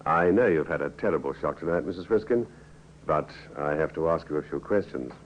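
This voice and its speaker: A blunt police inspector in a vintage radio drama. He is low-pitched but varied, asking short, probing questions. The sound is lo-fi and slightly noisy. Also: expressive, brisk. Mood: measured, probing. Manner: terse questioning. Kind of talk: 1950s radio drama acting. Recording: dull, noisy old radio recording.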